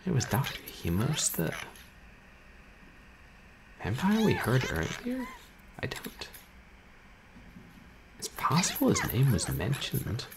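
A man's voice: mid-range voice, wildly swooping pitch, takes it slowly, long pauses. A man in his thirties giving casual play-along game commentary with musing, dry asides. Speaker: game streamer. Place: small room indoors. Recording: noticeable background noise.

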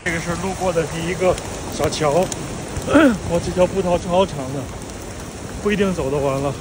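A man vlogging casually on a hiking trail in heavy rain, talking into a handheld phone with excited exclamations. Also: on the high side, moderate pace, heavy background noise. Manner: excited exclamations, chatty asides. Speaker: travel vlogger. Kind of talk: casual on-the-go vlog chat.

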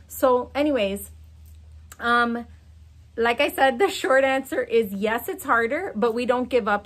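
Animated woman in her forties, high voice. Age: 40s. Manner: animated, talks with her hands, chatty and frank. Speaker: fitness coach and vlogger. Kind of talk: casual chat to camera. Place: outdoor patio by the water.

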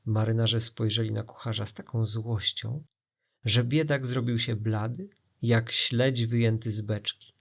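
A man's voice: lowish voice, steady tone, unhurried, creaky. A man narrating a scripted children's story as a storyteller, in a dramatic style.

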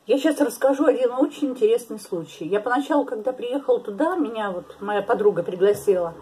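An older woman with a slightly husky, breathy voice talks frankly across a table in a small living room. She is from Latvia and answers questions in a relaxed, casual way, dropping in laughing asides. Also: fairly high pitch, brisk, low-quality recording. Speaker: interviewee. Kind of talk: casual interview.

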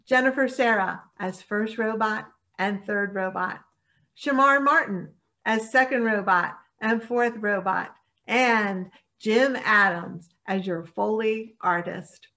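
Middle-aged female announcer: forceful bright tone, measured scripted read, mid-range pitch. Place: indoors on a video call.

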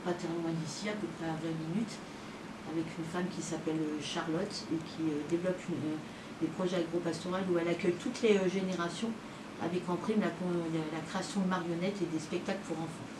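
Middle-aged woman, association representative presenting informally; slightly low voice, heard off in an echoey room. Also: fairly even intonation, a little fast. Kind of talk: informal spoken presentation at a partnership signing. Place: small indoor meeting room with a group standing behind. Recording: echoey, noisy room, distant microphone.

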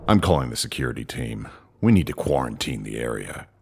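Man as a very deep gravelly commander. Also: lively intonation, brisk, darkish tone, full character voice. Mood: urgent, commanding. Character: stern, worried commander in charge of security team. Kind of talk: audio drama acting.

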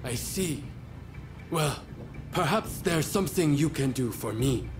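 Dignified, higher-voiced samurai master. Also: male voice, lively intonation, noisy background. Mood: grave, resolved. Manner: formal, deliberate, dignified. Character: wise, self-sacrificing elder samurai master. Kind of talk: video game cutscene voice acting.

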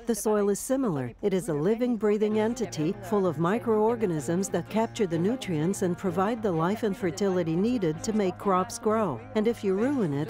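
A woman voicing a translated interview at a mid pitch. She explains things calmly and plainly, with faint music underneath. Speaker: voice-over interpreter. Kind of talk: scripted translated voice-over.

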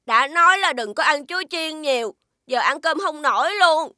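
A small magical creature child in a cartoon, frank and friendly. The voice is high, sharp and close, unhurried, with a southern Vietnamese accent. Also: female voice, animated, no echo. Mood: scolding.

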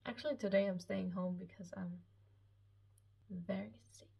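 A woman's voice: medium pitch, steady tone, normal speed, gravelly, warm tone, breathy edge. A seventeen-year-old woman from Austria, a German speaker, chatting casually to camera in a hesitant, rambling, self-conscious way. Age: 17, late teens. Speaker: YouTube vlogger. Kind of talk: casual chat to camera, personal Q&A. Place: small lived-in room at home, indoors.

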